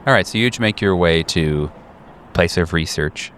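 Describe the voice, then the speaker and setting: A man narrating an improvised tabletop game as game master, in a conversational, halting way with repeated words. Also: deep voice, a bit bright, slight background noise.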